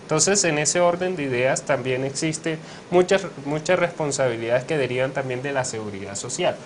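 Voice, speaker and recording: A young law professor with a Colombian Caribbean coast accent speaks in a measured, explanatory way and often fills his pauses. His voice sits at a middle pitch. Faint ambient noise hangs behind him. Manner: measured, with frequent hesitations and fillers. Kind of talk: academic interview explanation.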